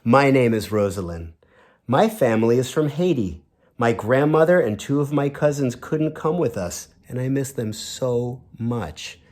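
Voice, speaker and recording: A man's voice: medium pitch, lively intonation, measured pace, a little mellow, lightly acted. A man voicing earnest, friendly refugee children introducing themselves in a storybook read-aloud, expressively. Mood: wistful.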